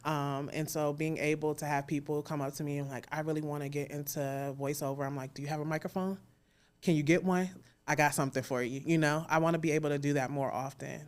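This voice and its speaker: A woman's voice: low voice, steady tone, fast. A woman speaking casually as an interview guest, in an informal, encouraging way. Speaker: interview guest, audio drama creator.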